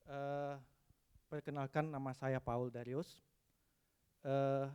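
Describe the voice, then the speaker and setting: A man from West Sumatra giving a technical conference presentation, pausing often with "eh" and dropping in English terms. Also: medium pitch, flat delivery, a little mellow, clean tone, muffled recording. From West Sumatra, Indonesia. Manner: hesitant, frequent "eh" fillers, mixes in English terms.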